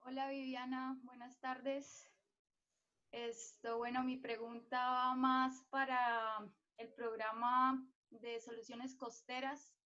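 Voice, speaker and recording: A young woman from Colombia asks a question on a video call, her voice slightly high-pitched. She speaks slowly and hesitantly, searching for her words. The call audio is dull and lo-fi. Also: little pitch movement, bright, forceful tone. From Colombia, Santander. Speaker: audience member asking a question.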